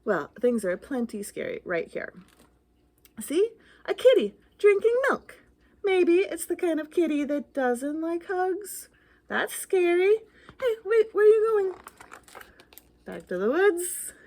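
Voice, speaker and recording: A woman's voice: very high-pitched, sing-song, slow pace, long pauses, darkish tone, pitched above the performer's own voice, exaggerated voice. A woman voicing a jumpy, bluffing little ghost in a children's picture book, theatrical and full of anxious questions. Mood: skeptical, nervous.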